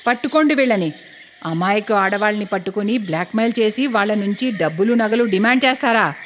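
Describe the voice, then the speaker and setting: A woman acts out a stern female police officer in a cartoon story, pitched well below her usual voice. She speaks with calm authority and gives steady orders, confident and reassuring toward the people she protects. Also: fairly quick, long reverb tail, slight background noise, natural voice. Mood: firm. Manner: commanding, reassuring.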